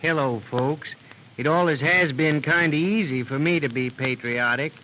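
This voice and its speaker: A man's voice: fairly high pitch, moderate pace, over loud music, natural voice. A man playing a proud, good-humored rural family man, telling his tale in homespun 1930s radio style. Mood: folksy, amused. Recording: noisy 1930s radio recording.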